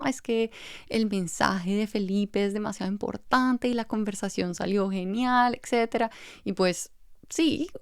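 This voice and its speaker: A woman hosts a podcast, her voice smooth and clear. She talks in a reflective, candid way. The sound is dry and close to the mic. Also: mid-range voice, dry recording. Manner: warm, reflective, openly self-critical. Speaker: podcast host. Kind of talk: conversational podcast intro and interview.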